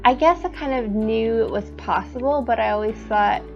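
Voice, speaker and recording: Creaky-voiced woman from Canada. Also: fairly high pitch, moderate pace, faint music behind. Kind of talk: casual talk in a documentary interview. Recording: dull lo-fi earphone mic.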